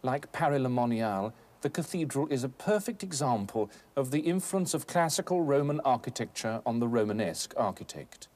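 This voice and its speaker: A man in his thirties presenting a scripted educational documentary in a measured, articulate, expository manner. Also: medium pitch, expressive, darkish tone. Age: 30s. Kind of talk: scripted educational narration on architecture. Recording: noisy old documentary film soundtrack.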